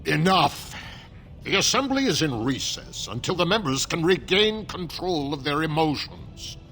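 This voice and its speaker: An actor playing an exhausted, stern but courteous dwarf assembly steward in a video game. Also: male voice, a little high, big pitch swings, a little slow, bright, forceful tone, a little hiss or hum, exaggerated voice. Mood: stern, exasperated. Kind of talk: video game dialogue acting.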